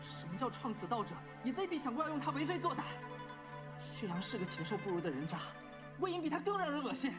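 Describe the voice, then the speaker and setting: An actor voicing a furious, bitter young man in an animated series, shouting with venom. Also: very high-pitched, quick pace, loud music behind the voice. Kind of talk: Chinese animated series dub.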